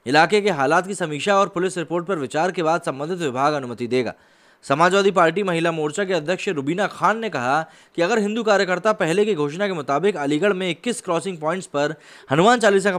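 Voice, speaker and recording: A man's voice: a little high, fairly bright tone. A man hosting a news commentary, reading reports in an earnest, formal way. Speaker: YouTube news host and commentator.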